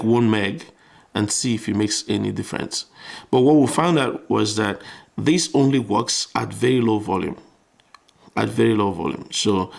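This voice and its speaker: Male tutor, low creaky voice, informal step-by-step electronics walkthrough with repeated phrases. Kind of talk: explanatory tutorial, thinking aloud while demonstrating.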